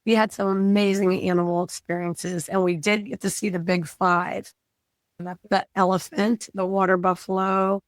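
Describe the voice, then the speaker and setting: A woman from the United States fighting a cold, telling travel stories in an excited, casual chat. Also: medium pitch, normal speed, no room sound. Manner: excited storytelling. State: fighting a cold, losing her voice. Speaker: guest.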